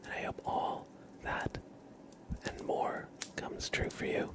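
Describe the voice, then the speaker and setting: A man hosting an ASMR livestream in a soft whisper, gently addressing listeners directly. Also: takes it slowly.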